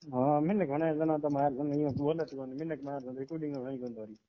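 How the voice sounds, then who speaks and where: High-pitched Rajasthani man on phone. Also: steady tone, a little fast. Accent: Rajasthani (Marwari). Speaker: caller in a recorded phone call. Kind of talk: argument on a phone call. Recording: dull, lo-fi phone call recording.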